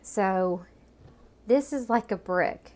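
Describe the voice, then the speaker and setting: A woman's voice: medium pitch, slow pace, a little mellow. A woman speaks in a medium register. She explains a product in a conversational, matter-of-fact way, as in a homemade video review.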